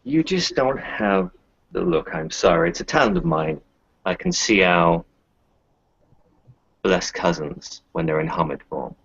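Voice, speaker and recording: Deep, gravelly man in casual roleplay. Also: expressive, measured pace, long pauses, fairly bright tone, no room sound. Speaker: tabletop roleplaying player. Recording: dull lo-fi voice recording.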